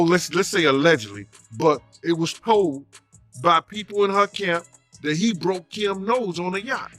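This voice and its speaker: A man talks in a loose, conversational way, repeating his points for emphasis. His voice sits a little high. Faint music plays quietly under him. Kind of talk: casual talk.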